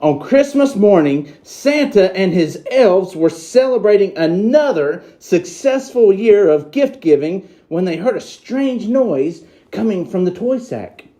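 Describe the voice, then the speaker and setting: An excitable Christmas elf, played by a man, reads a children's storybook in a high, swooping voice. The delivery is slow, theatrical and childlike, with exclamations. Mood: cheerful, earnest.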